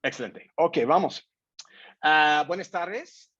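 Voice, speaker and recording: A man speaking Spanish as a non-native speaker, giving a careful, deliberate seminar presentation over a video call with some hesitation. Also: on the high side, a bit bright, no echo. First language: not Spanish. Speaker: invited speaker. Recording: dull lo-fi online call audio.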